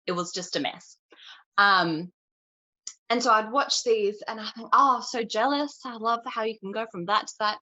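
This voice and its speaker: A young woman with a Queensland Australian accent, chatting as an interview guest and telling stories with animated energy. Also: on the high side, highs cut off. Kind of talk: casual chat.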